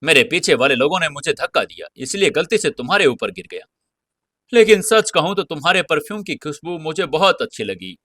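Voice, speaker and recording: Man acting a bright-voiced, wheedling young husband. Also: on the high side, big pitch swings, fairly quick, dry recording, studio quality, exaggerated voice. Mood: teasing. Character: young husband, pleading yet cheeky teaser. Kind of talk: audio serial character dialogue.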